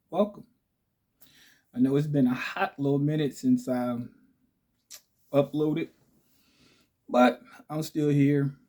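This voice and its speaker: A man hosting his own channel in a casual, chatty way, with a raspy voice, often checking in with "okay?" and "right?". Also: mid-range voice, mellow. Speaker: channel host. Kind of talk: casual direct-to-camera talk.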